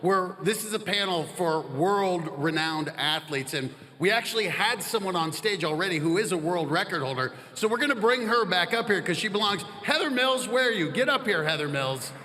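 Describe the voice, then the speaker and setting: Guy hosting a panel on a big stage, high bright voice, jokey and upbeat, long hall echo. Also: lively intonation, normal speed, few pauses. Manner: enthusiastic, joking. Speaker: panel moderator. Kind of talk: lively stage moderating.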